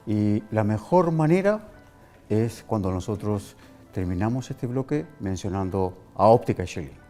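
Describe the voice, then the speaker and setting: Male Chilean interviewer, soft-toned low voice, emphatic rhetorical delivery. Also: animated, unhurried, soft background music. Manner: emphatic, oratorical, builds lists. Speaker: talk show host. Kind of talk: interview with long, rhetorical questions.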